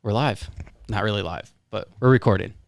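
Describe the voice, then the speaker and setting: Gravelly-voiced male podcast host. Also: on the low side, no echo. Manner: relaxed, laughing, halting restarts. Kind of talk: casual interview.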